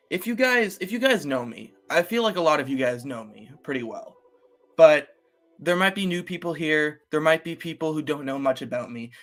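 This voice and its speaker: A man's voice: on the high side, a bit bright. An eighteen-year-old man talking casually to his channel's viewers, rambling with many fillers and restarts. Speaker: YouTuber. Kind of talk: casual solo vlog talk.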